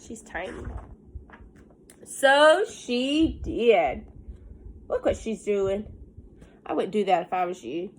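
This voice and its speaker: A woman's voice: medium pitch, lively intonation, takes it slowly, pauses often, low-quality recording. A woman teacher talking and reading aloud to young children, explaining things simply with little checking questions, sounding a bit congested from pollen. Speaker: elementary school teacher.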